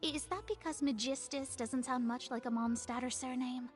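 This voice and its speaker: A woman's voice: sits high, moderate pace, music in the background, natural voice. A woman voicing a proud, talkative young astrologer in a video game, wry and self-assured. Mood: matter-of-fact, proud.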